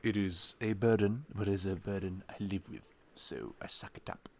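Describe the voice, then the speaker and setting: A man's voice: deep voice, steady tone, moderate pace, vocal fry, a little hiss or hum. A young man playing a sweet, playful boyfriend in an ASMR roleplay, chatting tenderly and casually in a rambling, teasing way. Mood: playful, relaxed. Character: sweet, playful, affectionate boyfriend cuddling his partner. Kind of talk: ASMR boyfriend roleplay, improvised intimate chat.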